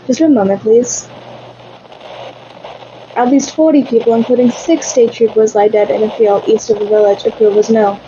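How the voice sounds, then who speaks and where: A woman playing an urgent news reporter in a radio play, announcing formally and gravely. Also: on the high side, mellow, dull audio, close to an everyday voice. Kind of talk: radio drama newscast acting. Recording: faint background noise.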